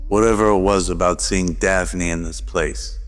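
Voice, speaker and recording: A man's voice: sits low, steady tone, normal speed. A man narrating a scripted radio drama. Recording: loud music behind narration.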